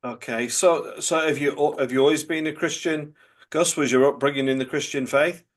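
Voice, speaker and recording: A man's voice: fairly high pitch, fairly even intonation, moderate pace. A man hosts a podcast and interviews a guest. He is a priest, and he keeps the conversation going with friendly, inviting questions. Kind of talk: interview hosting.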